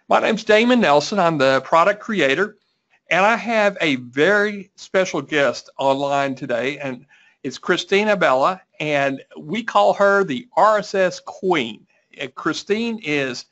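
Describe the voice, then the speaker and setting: A man's voice: a little high, fairly bright tone. Upbeat man hosting a webinar. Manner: upbeat, repeats and restarts. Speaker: host. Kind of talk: webinar presentation. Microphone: video call. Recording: dull lo-fi call audio.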